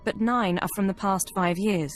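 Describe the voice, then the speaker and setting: A woman playing a brisk, analytical intelligence officer in a video game, reporting in a precise, matter-of-fact way. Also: medium pitch, faint music behind, close to an everyday voice. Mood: clinical. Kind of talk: video game cutscene acting.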